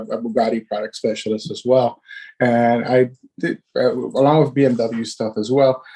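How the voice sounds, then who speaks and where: A man in his thirties, born in Cuba and raised in Miami, telling his story as a podcast guest in a relaxed way and laughing now and then. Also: medium pitch. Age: 30s. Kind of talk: casual interview storytelling.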